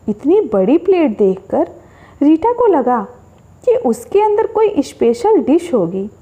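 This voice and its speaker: A woman narrating a scripted horror story as a storyteller, with an expressive delivery. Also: high voice.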